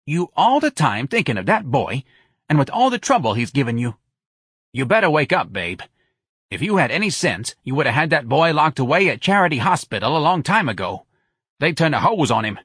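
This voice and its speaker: Brash working-class woman acted by a male narrator, audiobook dialogue, bossy and scolding, husky, animated delivery. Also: on the high side, moderate pace, no room sound, studio quality, voice pushed up, heavily acted. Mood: angry. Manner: pushy, emphatic, scolding.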